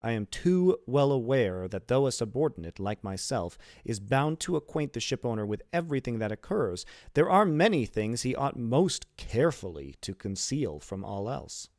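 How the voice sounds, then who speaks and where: Scheming male ship's officer for a classic storybook, voiced by a male reader: insinuating, measured, animated pitch, close dry sound. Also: no room sound. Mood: oily, deferential. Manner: insinuating, measured, formally worded. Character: scheming, smooth-tongued, self-serving male ship's officer.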